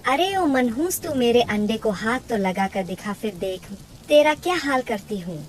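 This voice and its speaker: Brash, high-voiced scheming bird, played by a woman. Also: animated, moderate pace, slight background noise, natural voice. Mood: defiant. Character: brash, scheming, sharp-tongued female bird. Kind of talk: Hindi cartoon story acting.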